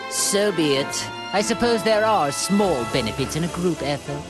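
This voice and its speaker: An actor playing a haughty, sadistic alien tyrant in an English anime game dub, mock-polite and condescending. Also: male voice, fairly high pitch, lively intonation, normal speed, close to an everyday voice. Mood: coldly threatening. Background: loud music.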